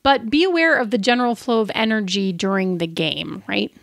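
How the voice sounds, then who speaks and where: A woman's voice: medium pitch, a little fast, gravelly, no echo, studio quality. A woman co-hosting a podcast, giving advice in a casual, chatty, conversational way. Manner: informal, chatty. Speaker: podcast co-host.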